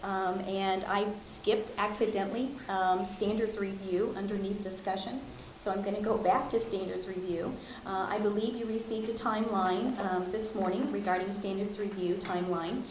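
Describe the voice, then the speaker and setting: A woman's voice: mid-range voice, steady tone, a little mellow, echoey room, slight background noise, poor audio. A woman chairing a board meeting, speaking with frequent ums and uhs. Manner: halting, many ums and uhs. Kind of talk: formal meeting remarks.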